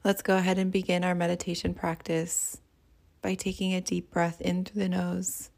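A woman leading a guided meditation as a teacher, speaking in a soothing, gentle and unhurried way. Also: lowish voice, flat delivery, creaky.

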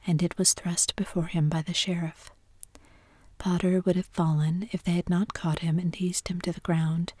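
A woman narrating a classic story entirely in a soft whisper. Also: fairly low pitch, flat delivery, normal speed, no room sound, clean studio sound. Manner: soft unvoiced whisper throughout.

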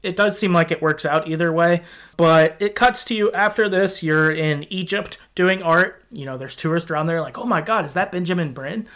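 A man hosting a casual podcast chat about video games, talking in a halting, conversational way. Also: fairly high pitch, a bit bright. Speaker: podcast host. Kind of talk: casual video game discussion.

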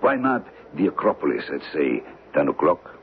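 A man's voice: a bass voice, huge pitch swings, normal speed, creaky, full character voice. An actor playing a suave, scheming villain with a foreign accent, choosing his words with courtly precision in an old radio drama. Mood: quietly menacing. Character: suave, scheming foreign villain who deals in stolen art. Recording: muffled, noisy old radio broadcast.

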